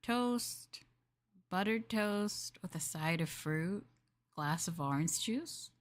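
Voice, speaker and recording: A woman's voice: lowish voice, expressive, takes it slowly. A woman streamer playing a maid in a roleplay, chatting with viewers in a playful, teasing and politely formal way.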